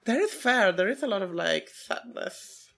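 A woman's voice: mid-range voice, lively intonation, normal speed, vocal fry, fairly bright tone. A woman in her twenties chatting casually as a podcast co-host, teasing and thinking aloud in hesitant loops. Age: 20s. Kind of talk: casual chat about records.

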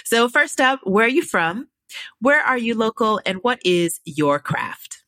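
A woman's voice: fairly high pitch, very animated, a little slow, bright, forceful tone, no room sound. A woman hosting a podcast interview, talking in an upbeat, enthusiastic way. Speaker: podcast host.

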